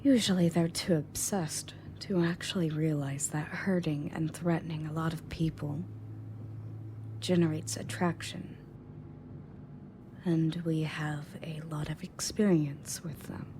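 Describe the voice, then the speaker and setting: A woman's voice: sits low, fairly even intonation, measured pace. A woman playing a confident, matter-of-fact rescue agent in an audio roleplay, speaking conversationally to the listener. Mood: casual, wry. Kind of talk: scripted audio roleplay, in-character monologue to listener. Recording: clearly audible background noise and ambience.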